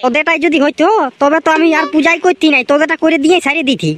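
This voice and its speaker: A man voicing a grumbling, put-upon village priest in a comic cartoon, with a rustic Purulia Bengali accent and a pitched-up voice. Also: fairly even intonation, rapid-fire, music in the background. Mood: scolding. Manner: scolding, rustic, exclamatory. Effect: pitch-shifted up. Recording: clearly noisy track with clicks.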